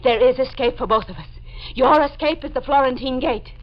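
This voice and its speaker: Woman doing fragile despairing girl from old radio horror, really high voice, muffled vintage sound. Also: fairly quick, lightly acted. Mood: fatalistic. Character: fragile, despairing young woman, yearning for escape. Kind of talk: 1940s radio horror acting. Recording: dull vintage radio recording with faint music.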